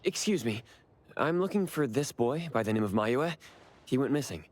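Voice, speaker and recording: An actor playing a calm, polite male investigator in a video game, speaking in a measured, matter-of-fact way. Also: medium pitch, slight background noise, lightly acted. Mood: composed, helpful. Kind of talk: video game dialogue acting.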